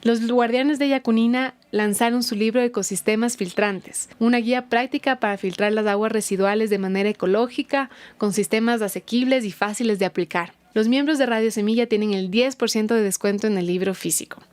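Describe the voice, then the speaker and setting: A woman's voice: fairly high pitch, a little mellow, no echo. A woman hosting a podcast, reading an introduction and asking interview questions in an upbeat, welcoming way. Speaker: podcast host.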